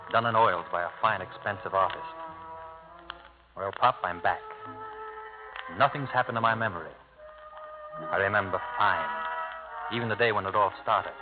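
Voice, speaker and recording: An actor playing a bitter, brooding Italian-American ex-convict in a clipped, hard-boiled 1950s radio drama style. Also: male voice, fairly low pitch, lightly acted. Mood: brooding, reflective. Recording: old tinny radio broadcast, music behind.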